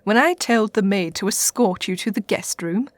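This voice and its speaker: A woman's voice: medium pitch, lively intonation, no echo, lightly acted. A woman playing an imperious, coaxing English witch, lady of the castle, in a theatrical radio sitcom style. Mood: soothing, breezy. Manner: grand, coaxing, unhurried. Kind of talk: comedy radio sitcom acting.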